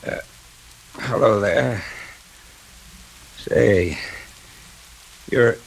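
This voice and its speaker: An actor playing a sly, ailing veteran newspaperman with a husky, halting, weary voice in 1940s radio style. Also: fairly low pitch, heavily acted, poor audio. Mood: sly, tired. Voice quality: gravelly, husky. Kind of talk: 1940s radio drama acting.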